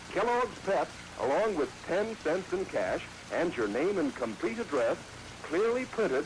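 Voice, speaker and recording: A man announcing a peppy 1940s radio commercial for children. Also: a little high. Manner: peppy, salesman-like. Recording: hissy 1940s radio recording.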